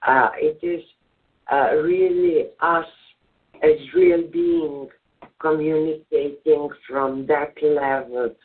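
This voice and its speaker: Slow hesitant Serbian-accented man, tinny line. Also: a little high, steady tone. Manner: hesitant, many filler sounds and restarts. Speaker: guest. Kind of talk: call-in radio interview. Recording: tinny phone-line audio.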